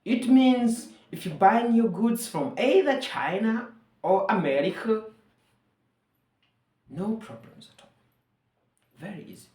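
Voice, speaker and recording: A woman's voice: medium pitch, animated, slow pace, pauses often, mellow, echoey room. Earnest Ugandan woman rallying listeners. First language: Luganda. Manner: earnest, rallying, repeats phrases for emphasis. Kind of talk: persuasive monologue to camera.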